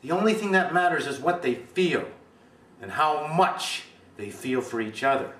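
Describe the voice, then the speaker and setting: A man playing an impassioned aging father in a dramatic film monologue, speaking with deliberate, emphatic oratory. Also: on the high side, lively intonation, fairly bright tone, voice pushed up. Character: aging father, earnest and impassioned, defending young love. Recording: echoey room, distant mic, faint noise.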